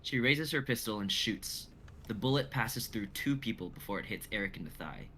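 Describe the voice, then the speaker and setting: A young man narrates a script live in a dramatic style. His voice is low yet bright and forceful, with faint noise behind it. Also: lively intonation, normal speed, rough recording. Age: young adult. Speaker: narrator reading stage directions.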